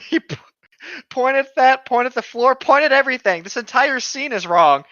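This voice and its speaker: A man's voice: very high voice, lively intonation, moderate pace, pushed and bright, no echo. A man co-hosting a podcast with casual, joking asides, slangy and sweary, on a dull lo-fi voice track. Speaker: podcast co-host.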